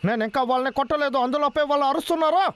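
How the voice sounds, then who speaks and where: A man's voice: extremely high pitch, racing. A man voicing a boastful, cowardly villain in a dubbed slapstick cartoon, theatrical in delivery. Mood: gloating. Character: boastful, cowardly cartoon hunter in a scary disguise. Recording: loud music under the voice.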